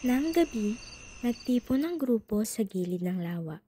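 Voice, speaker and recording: A woman's voice: fairly high pitch, big pitch swings, moderate pace, mellow, slight background noise. A woman narrating a radio drama from a script as a storyteller.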